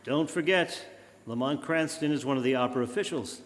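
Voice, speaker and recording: A man playing a calm, confident, secretive gentleman detective with clipped lines in a live radio drama, recorded in an echoey hall. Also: mid-range voice, a little fast, heavy background noise, close to an everyday voice. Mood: assured. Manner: composed, clipped, assured. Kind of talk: live old-time radio drama acting.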